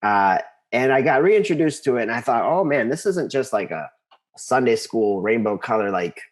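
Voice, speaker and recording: A man being interviewed, telling his story conversationally and haltingly, repeating words as he thinks. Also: medium pitch, expressive. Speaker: interview guest, composer.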